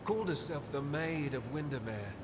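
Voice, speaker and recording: A man acting a fervent, obsessed young acolyte in a video game, speaking with dreamy, halting reverence. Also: lively intonation, natural voice. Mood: haunted reverence. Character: obsessed young acolyte, crystal-mad killer, fervent and haunted. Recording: long cavernous reverb, loud rumbling noise.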